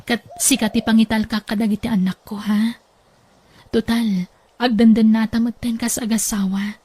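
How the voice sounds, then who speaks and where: A woman voicing an earnest young mother who speaks in a soft, pleading way. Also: fairly high pitch, flat delivery, normal speed, husky, no room sound. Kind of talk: Ilocano audio drama acting.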